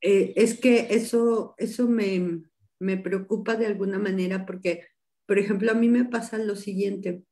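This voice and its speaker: A woman's voice: medium pitch, fairly even intonation, measured pace, dark tone. A woman in a class asking the teacher a question over a video call, hesitantly searching for her words. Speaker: class participant. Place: home room, video call. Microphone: laptop webcam mic on a video call.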